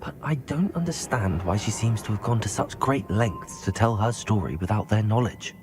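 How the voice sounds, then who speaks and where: A man's voice: lowish voice, expressive, moderate pace, noisy background. A man playing an earnest, determined young wizard student in a cinematic fantasy game. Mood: earnest, thoughtful.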